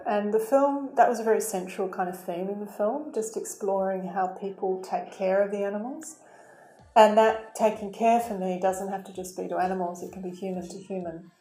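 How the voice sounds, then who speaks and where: A woman speaks in an earnest, thoughtful way and often pauses on um as she explains her work. Her voice is mellow and warm. The room sounds roomy, with faint music under her. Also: medium pitch. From Australia. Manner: thoughtful, many ums, earnest. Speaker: documentary filmmaker guest. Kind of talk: studio interview answers.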